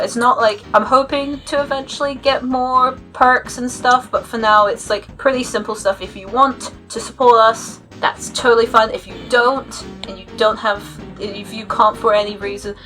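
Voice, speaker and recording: A woman's voice: medium pitch, sharp tone. A woman chatting casually and unscripted as a channel host, rambling, hesitant and self-deprecating. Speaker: YouTube channel host giving updates.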